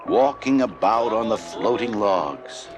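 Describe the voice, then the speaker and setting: A man narrating a scripted children's story, with expressive delivery and deliberate phrasing. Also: fairly low pitch. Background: loud music.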